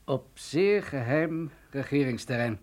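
A man playing a general in a radio drama. His mid-pitched voice carries unhurried, informal authority. He is composed and in charge. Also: animated, a little mellow, close to an everyday voice.

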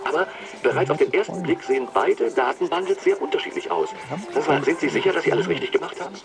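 An actor playing a chatty, breezy company doctor in a radio drama, chummy and officious, heard at a distance over music. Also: male voice, a little high, expressive, fast, creaky. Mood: chummy, probing.

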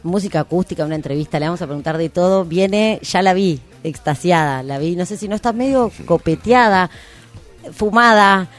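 A woman's voice: fairly low pitch, normal speed. A woman with a Rioplatense Argentine accent hosting a casual radio show, speaking in an informal, slangy way. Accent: Rioplatense Argentine Spanish. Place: community radio studio. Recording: music behind the voice. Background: music.

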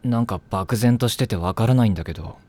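A man's voice: on the low side, fast, dark tone, natural voice. A man playing a coolly sarcastic teenage boy in an anime drama CD, mocking and dry. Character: tall sarcastic teen boy, coolly dismissive.

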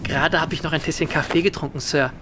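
An actor playing a deferential household servant who is eager to please, in a radio play. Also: male voice, on the high side, fairly even intonation, fast talker, close to an everyday voice. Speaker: manservant. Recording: noisy radio play recording.

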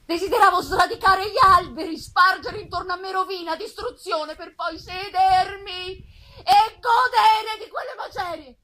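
A woman's voice: very high-pitched, bright, forceful tone, voice pushed up, full character voice. A woman voicing an anguished, vengeful outcast male monster in an impassioned, theatrical gothic reading. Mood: anguished fury. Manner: impassioned, theatrical, drawn-out emphasis. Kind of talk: dramatic gothic novel reading. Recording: live festival recording with background noise.

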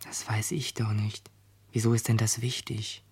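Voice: medium pitch, flat delivery, normal speed, a little mellow, husky. A performer playing a reluctant, questioning boy in a German radio play, hesitant and stubborn in manner. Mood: secretive, defensive.

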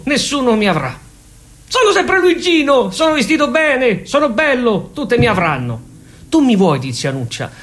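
A high-voiced, self-pitying suitor who fancies himself irresistible, played by a man. His delivery swings widely in pitch, as in a comic stage monologue. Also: fairly bright tone, full character voice. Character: Luigino, self-pitying then vain young suitor.

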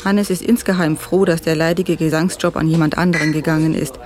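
A man's voice: fairly high pitch, steady tone, a little fast, noisy background. A man reading scripted narration for a radio feature. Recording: music under the voice. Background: music.

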